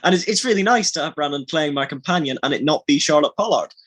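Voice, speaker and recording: A man's voice: fairly high pitch, lively intonation, fast, fairly bright tone. A man chatting casually on a podcast as a voice actor, bantering and often repeating words as sentences form. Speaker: voice actor on a behind-the-scenes podcast.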